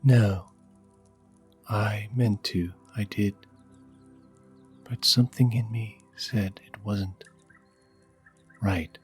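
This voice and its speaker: A man voicing a grieving village woman, guilty and halting, in a soft ASMR fantasy roleplay. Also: on the low side, fairly even intonation, soft background music, voice pushed up. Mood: guilty, hesitant.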